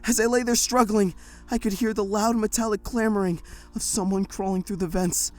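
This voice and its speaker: A woman's voice: medium pitch, steady tone, fairly quick. A woman narrating a first-person audio drama in a tense, breathless storytelling manner.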